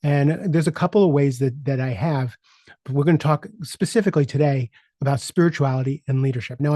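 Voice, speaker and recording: A man's voice: mid-range voice, dark tone, no room sound, clean studio sound. A man in his sixties hosting a solo podcast, talking reflectively, earnestly and candidly as a coach. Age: 60s. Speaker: podcast host and leadership coach.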